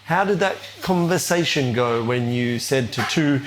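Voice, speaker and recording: Friendly middle-aged host asking easy questions. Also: male voice, medium pitch, expressive, measured pace, noisy background. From India. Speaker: interviewer, host. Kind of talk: casual interview chat.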